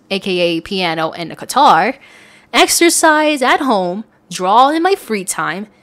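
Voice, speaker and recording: A woman's voice: fairly high pitch, a bit bright. Young woman chatting through a casual Q&A. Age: young adult. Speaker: audio roleplay content creator and voice performer. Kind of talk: casual Q&A talk to followers.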